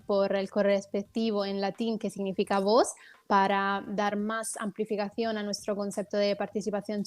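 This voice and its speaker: A woman's voice: medium pitch, darkish tone. A woman with an Italian accent, a native Italian speaker answering interview questions as a guest politician, explaining things at length with frequent hesitant fillers.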